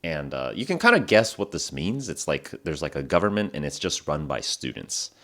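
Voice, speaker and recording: A man in his twenties hosting a casual podcast, talking through stories in a relaxed, rambling way, full of fillers and restarts, his voice sounding lower than usual in the morning. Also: moderate pace. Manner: relaxed, rambling, many fillers and self-corrections. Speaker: podcast host. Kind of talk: casual storytelling monologue for English learners.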